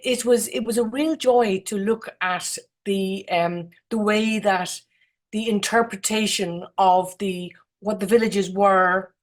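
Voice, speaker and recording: A woman with an Irish accent giving informal, effusive remarks as a jury member, often repeating words as she goes. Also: lowish voice. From Ireland. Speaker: competition jury member, architect. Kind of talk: informal remarks at an online awards gathering.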